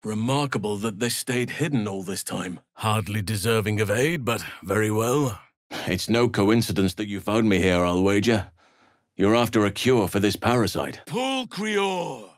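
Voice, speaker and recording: A man voicing an earnest, solemn druid healer in a fantasy video game, speaking in measured, formal phrases. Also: on the low side, lively intonation, no echo, studio quality, lightly acted. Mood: battle cry. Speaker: video game companion character. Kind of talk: scripted fantasy game voice acting.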